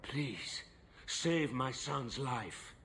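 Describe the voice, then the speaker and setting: Anxious noble father, middle-aged, fantasy game, medium pitch, formal, earnest, exasperated, slight ambient noise. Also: animated, very slow, fairly bright tone, exaggerated voice. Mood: pleading. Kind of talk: video game voice acting.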